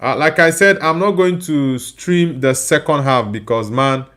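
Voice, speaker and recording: A man's voice: on the high side, unhurried, fairly bright tone. Tired Nigerian man hosting a live stream. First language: Igbo. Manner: reads viewer comments aloud and answers them. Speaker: livestream host. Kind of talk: casual live-stream chat.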